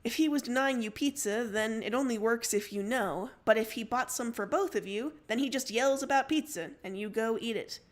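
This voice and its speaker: A woman reading a story aloud as narrator, in an expressive storytelling style. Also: a little high, moderate pace, hardly any pauses. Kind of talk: expressive fiction reading on a livestream.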